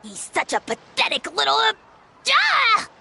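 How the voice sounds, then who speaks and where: A woman voicing a small, boastful male dragon spirit who shouts insults and grand titles at everyone. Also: very high-pitched, huge pitch swings, unhurried, vocal fry, slight background noise, exaggerated voice. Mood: scornful.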